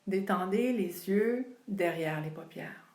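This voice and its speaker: A woman leading a guided yoga relaxation, speaking calmly and soothingly with long pauses between phrases. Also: mid-range voice. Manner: calm, unhurried, soothing delivery with long pauses. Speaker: yoga nidra instructor. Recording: roomy, echoing sound.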